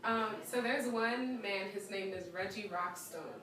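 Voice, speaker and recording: A young woman, a musician with Ghanaian parents, chatting in a live-stream interview. She is lively, laughs often and acts out the people she quotes. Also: mid-range voice, measured pace, a crowd in the background. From United States, Ghanaian parents. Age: young adult. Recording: echoey room, far from the mic, audience around.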